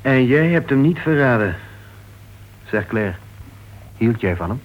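An actor playing an earnest, lovelorn club board member in a Dutch radio play, asking insistent, probing questions. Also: male voice, mid-range voice, lively intonation, a little slow, lightly acted. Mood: probing, concerned. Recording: dull, muffled old radio recording.

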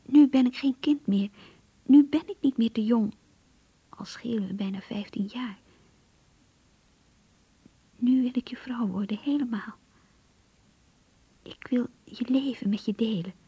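A yearning young woman confiding her diary in a radio play. Her voice is fairly high and very breathy, almost whispered, in a close, dry sound. She sounds earnest, intimate and a little anxious. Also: unhurried, long pauses, warm tone, no room sound, highs cut off, full character voice. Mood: yearning, determined. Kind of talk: radio drama diary narration.

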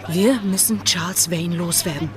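A woman's voice: fairly low pitch, normal speed, crowd noise, lightly acted. An actress playing a scheming, determined female pirate in a radio drama, speaking with urgent resolve. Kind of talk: German radio drama acting. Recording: music underneath.

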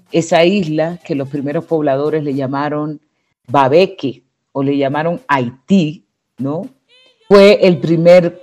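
A Dominican woman with a mellow, dark-toned voice gives reflective explanations as an interview guest. She speaks deliberately and leans on key words, with faint music underneath. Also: low-pitched. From Dominican Republic.

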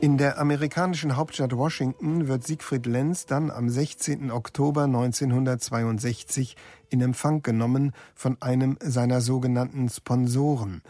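A man's voice: mid-range voice, moderate pace, dark tone, no echo. A man delivering scripted documentary narration for radio.

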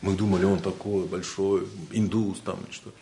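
A man's voice: low voice, level tone, moderate pace, warm tone. Siberian russian guy giving a spiritual talk, jokey and rambly, laughs a lot, faint hiss behind. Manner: joking, rambling, laughs often. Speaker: spiritual lecturer. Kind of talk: informal humorous lecture.

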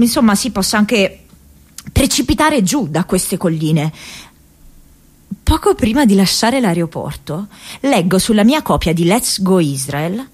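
A woman acting a young American activist in a radio theatre monologue, thinking aloud from her diary in a reflective, halting, conversational way. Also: medium pitch. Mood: light, wry. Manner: halting, reflective, conversational, with hesitations and repeated words.

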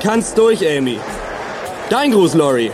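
A man's voice: on the high side, very animated, takes it slowly. A man acting a pushy teenage schoolboy gatekeeper in a German radio play, insistent and strict about rules. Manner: insistent, officious. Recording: noisy outdoor crowd ambience.

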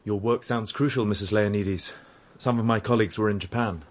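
Earnest, low-voiced young fiancé actor. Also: male voice, fairly even intonation, fairly quick, natural voice. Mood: polite, uneasy. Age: young adult. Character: anxious, polite, conscientious young fiancé. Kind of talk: radio drama acting.

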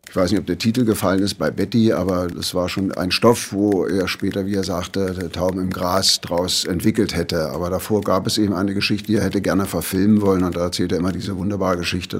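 A man's voice: sits low, fairly even intonation, brisk, hardly any pauses, husky. A man telling anecdotes in an interview, conversational, in the manner of a storyteller. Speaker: interviewee recalling a writer.

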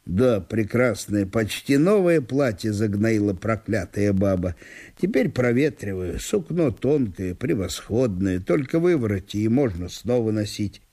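An actor voicing a stubborn small-town landowner, blunt, grumbling and easily indignant, in a radio reading of a classic story. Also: male voice, fairly low pitch, sing-song, unhurried, mellow, pitched below the performer's own voice, exaggerated voice. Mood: complacent, grumbling. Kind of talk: radio literary solo performance.

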